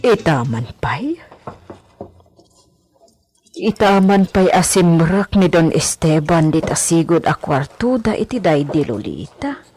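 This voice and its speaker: Woman acting a slow-talking, gossipy housemaid. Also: sits low, big pitch swings, a little mellow, soft background music, full character voice. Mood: curious, muttering. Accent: Ilocano. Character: gossipy, respectful housemaid, easily startled. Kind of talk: Ilocano radio drama acting.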